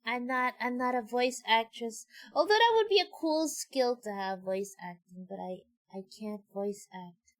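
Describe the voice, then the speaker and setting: A woman's voice: on the high side, expressive, measured pace, hardly any pauses. A woman live-streaming a game, reading its dialogue aloud expressively and chatting casually with her viewers. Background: game music.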